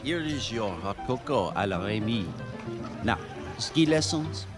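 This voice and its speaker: An actor playing a charming, flirtatious French ski lodge host in a radio drama, suave and courtly with a French accent. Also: male voice, medium pitch, expressive, unhurried, over music, natural voice. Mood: gallant, playful.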